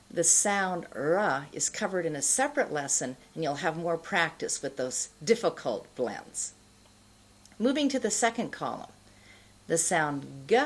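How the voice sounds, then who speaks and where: A middle-aged woman giving an instructional pronunciation lesson to camera, enunciating deliberately and carefully. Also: fairly low pitch, husky. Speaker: pronunciation teacher.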